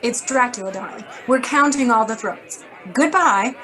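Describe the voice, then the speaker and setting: A sardonic woman editor in a noir radio play. The voice is fairly high, clipped and blunt, with some crowd murmur behind it. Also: expressive, normal speed, fairly bright tone. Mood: dryly dismissive. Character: brisk, sardonic, worried female magazine editor. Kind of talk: hard-boiled radio drama acting.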